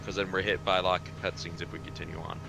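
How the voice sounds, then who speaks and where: A man's voice: sits low, flat delivery, fast, vocal fry, background noise. A man chatting casually with friends during a video game playthrough. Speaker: voice actor in a group game playthrough. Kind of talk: casual group banter over gameplay. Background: video game music.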